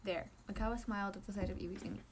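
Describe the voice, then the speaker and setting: A woman reading fan fiction aloud as an amateur narrator, giggly and animated, with casual asides. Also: mid-range voice, very fast, a little hiss or hum.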